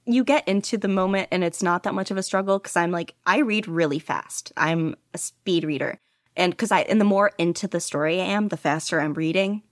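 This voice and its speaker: A woman's voice: fairly low pitch, brisk, no echo, clean studio sound. A woman guest chatting casually in an interview, rambling with lots of fillers and frequent giggles. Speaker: podfic reader and guest.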